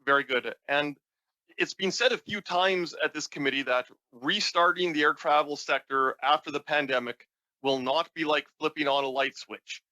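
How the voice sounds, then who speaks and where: A man's voice: a little high, moderate pace, pushed and bright, no echo, low-quality recording. A man putting formal questions to a witness as a committee member.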